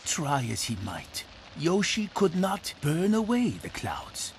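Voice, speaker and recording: A storyteller giving a scripted folktale narration with dramatic, deliberate phrasing. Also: medium pitch, wildly swooping pitch, slight background noise, low-quality recording. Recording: music behind the narration. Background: prominent music.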